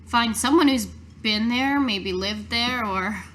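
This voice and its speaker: Bright, high-voiced woman role-playing. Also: slow pace, a little hiss or hum. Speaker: tabletop game player. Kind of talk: casual in-character improvised role-play.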